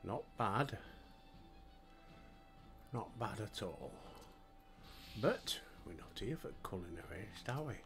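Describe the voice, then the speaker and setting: Older Yorkshire gamer, low, unhurried, self-deprecating, home headset mic. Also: male voice, expressive, a bit bright, faint music behind. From Yorkshire, England. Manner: chatty, self-deprecating, talks to himself while playing. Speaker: gaming streamer. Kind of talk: relaxed gameplay commentary.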